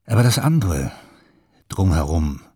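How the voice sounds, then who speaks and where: A low, husky older man muses over bygone days in a radio play. He speaks slowly, in short wry fragments, like someone thinking aloud in conversation. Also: big pitch swings, a little mellow, dry recording, studio quality, full character voice. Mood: wry reminiscing. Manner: halting, musing, short fragments, chuckling asides. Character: old German film insider wryly reminiscing about bygone days. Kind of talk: radio drama monologue, conversational reminiscing.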